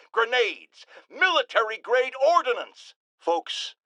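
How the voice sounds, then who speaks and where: A man's voice: high voice, no room sound, heavily acted, rough recording. An actor playing a blustering, self-righteous middle-aged American man, a pompous talk radio host who delivers theatrical, indignant rants in a video game. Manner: pompous, emphatic, theatrical, swinging into outraged shouts. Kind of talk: bombastic talk-radio monologue.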